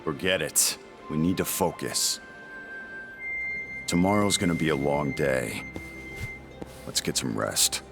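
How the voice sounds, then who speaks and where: An actor playing a gruff, single-minded warrior in a video game cutscene, terse and blunt. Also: male voice, rumbling low, lively intonation, slow, long pauses, music in the background, heavily acted. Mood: weary, curt.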